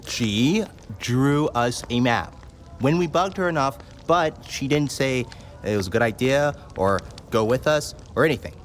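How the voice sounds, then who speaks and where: A man's voice: mid-range voice, expressive, unhurried, soft background music, lightly acted. A man playing a sheepish, evasive teenage boy in a fantasy audio drama, slangy and full of excuses.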